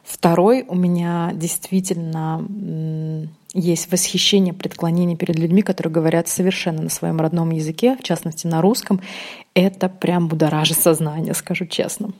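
A woman in her thirties from Uzbekistan, hosting a casual podcast monologue, thoughtful and often pausing with ums to correct herself. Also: on the low side, steady tone, darkish tone. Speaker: podcast host.

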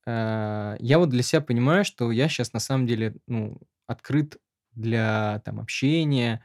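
A man's voice: medium pitch, darkish tone. A man hosting a podcast, chatting casually in rambling, slangy language. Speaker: podcast host.

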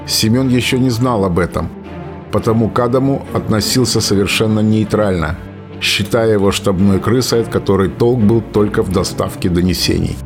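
A man's voice: on the low side, moderate pace. A man reading scripted dramatic narration as the narrator of a radio play. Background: music.

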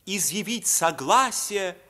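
An actor playing a pompous, officious and fawning court lord in an old radio drama. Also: male voice, high voice, lively intonation, takes it slowly, pushed and bright, full character voice. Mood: officious, ceremonious. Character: pompous, obsequious male royal courtier lord. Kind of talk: Soviet 1950s radio play acting.

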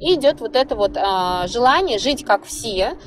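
Conversational woman with a higher-pitched voice. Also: normal speed, crowd noise. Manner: expressive, often acts out imagined inner voices. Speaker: podcast host. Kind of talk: conversational psychology monologue.

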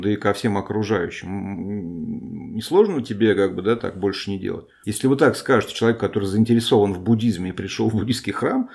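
Low-voiced man lecturing, thinking aloud. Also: creaky, darkish tone. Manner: thinking aloud, many fillers and restarts, self-deprecating. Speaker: podcast host teaching a Buddhist text. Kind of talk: informal spoken lecture.

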